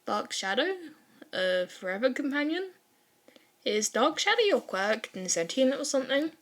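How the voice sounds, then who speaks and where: A woman voicing a polite, excitable teenage boy who rambles apologetically and gets easily flustered in an audio fan story. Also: high-pitched, normal speed, pitched above the performer's own voice, full character voice. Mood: eager, curious.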